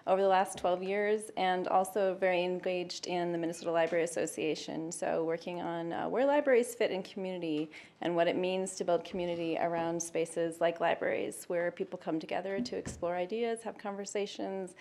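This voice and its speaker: A woman with a somewhat low voice introduces herself as a new library manager. She talks earnestly about community and stops now and then to find her words. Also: steady tone, brisk, darkish tone. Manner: earnest, searching for words with fillers. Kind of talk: self-introduction at a public meeting.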